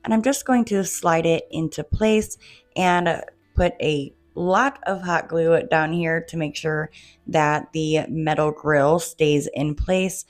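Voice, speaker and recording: A woman's voice: low voice, slow, dry recording, faint music behind. A woman narrating a DIY home decor tutorial in a chatty, casual way, talking to viewers as "you guys", while coming down with a cold and losing her voice.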